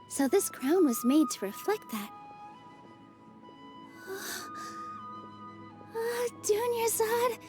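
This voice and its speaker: A sweet young theater dancer with a high, mellow, lively voice. She speaks gently and warmly admires others, over soft game music. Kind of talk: game cutscene voice acting.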